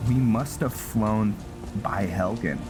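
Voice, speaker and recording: Low-voiced man doing casual game commentary. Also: slow. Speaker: game streamer narrating his roleplay playthrough. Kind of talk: casual gameplay commentary with some in-character lines. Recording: game music behind the voice. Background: video game music.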